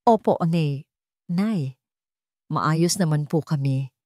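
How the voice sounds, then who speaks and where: A woman's voice: deep voice, slow, warm tone, no room sound. A troubled young bride in a storybook romance, performed by a woman. She addresses her elder with respect and strained earnestness. The sound is very dry. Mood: forced cheer. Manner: respectful, pleading. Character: distressed young bride defending herself to her mother.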